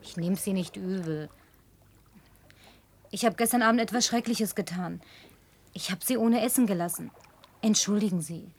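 A woman's voice: mid-range voice, moderate pace, pauses often, a little mellow, husky. An actress dubbing a spoiled, lonely young city woman who talks in a playful, coaxing, teasing way. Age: young adult. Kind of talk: German film dub.

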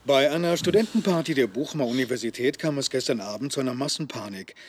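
A matter-of-fact male newsreader played by an actor reading a scripted radio bulletin in a drama. His voice is mid-pitched and somewhat husky, with formal, factual phrasing. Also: fast, close to an everyday voice. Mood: neutral.

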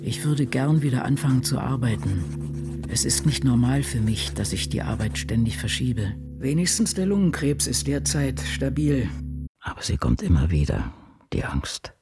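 A man playing a brooding, anguished novelist in a radio drama, speaking diary entries in a measured, introspective and intimate way. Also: medium pitch, big pitch swings, music in the background. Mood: weary, fearful.

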